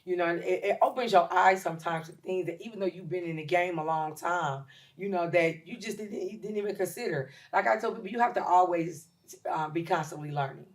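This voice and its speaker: A woman in her late forties, a chef and caterer talking as a guest in a casual podcast interview, emphatic and lively, with many ums. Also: lowish voice, a little fast. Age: 40s. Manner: lively, emphatic, with shout-outs and filler ums.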